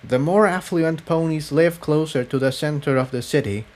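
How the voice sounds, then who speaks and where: A man's voice: a little high, normal speed, a little mellow, pitched above the performer's own voice, close to an everyday voice. A man voicing a female pony defector in a story, weary and hesitant, choosing words carefully. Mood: hesitant, sad.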